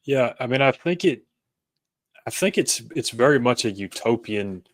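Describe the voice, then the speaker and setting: A man speaking as an interview guest, reflective and conversational, thinking aloud in halting, thoughtful phrases. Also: medium pitch, animated, dry recording, studio quality.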